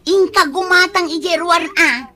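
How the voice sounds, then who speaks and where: A woman's voice: very high voice, measured pace, bright, forceful tone, exaggerated voice. An actress playing a generous, trusting woman in an Ilocano radio comedy drama, speaking with an Ilocano accent. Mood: hospitable, brisk. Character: generous, trusting woman who lends money to friends.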